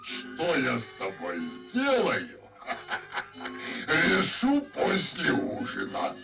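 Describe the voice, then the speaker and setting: Gravelly, theatrical cartoon tyrant. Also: male voice, fairly high pitch, huge pitch swings, drawn-out words, exaggerated voice. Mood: menacing. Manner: theatrical, threatening, falsely sweet when wheedling. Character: menacing, greedy male puppet theatre director, bearded tyrant. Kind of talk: Soviet cartoon acting. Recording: dull old film soundtrack, music behind.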